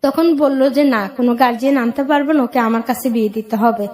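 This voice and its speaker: High-pitched woman recounting her life. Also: a little fast, a little mellow. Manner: plain-spoken, recounting with acted-out dialogue. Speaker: guest telling own life story. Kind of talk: personal storytelling in an interview.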